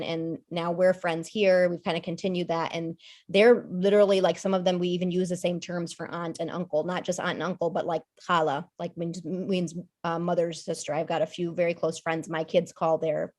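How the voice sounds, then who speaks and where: A woman with a slightly low voice speaks as a podcast guest in a loose, conversational way. She is in her thirties and thinks aloud, circling back with fillers, restarts and small asides. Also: darkish tone. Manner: thoughtful, rambling, many fillers and restarts. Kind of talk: casual conversational discussion.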